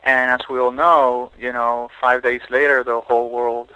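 A middle-aged man from Puerto Rico speaks with a crisp, bright tone. He is a photographer talking in a podcast interview. He works through his thoughts slowly, full of restarts and fillers. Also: mid-range voice, flat delivery, no echo, rough recording. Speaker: photographer guest.

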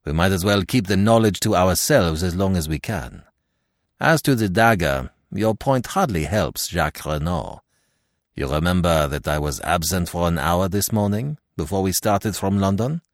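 A man plays a shrewd Belgian detective in an audiobook. He is courteous and precise, with calm confidence and a very mobile pitch, recorded dry. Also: moderate pace, dry recording. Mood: measured.

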